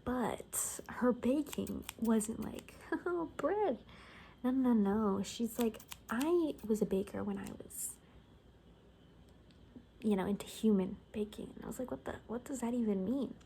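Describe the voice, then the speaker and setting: A woman speaking softly in an ASMR roleplay as a gossipy survivor, rambling casually and wryly and often dropping into whispers. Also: fairly high pitch, expressive, a little slow, vocal fry, a little hiss or hum. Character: gossipy female bunker survivor in a zombie apocalypse. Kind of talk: soft-spoken ASMR, improvised gossipy storytelling.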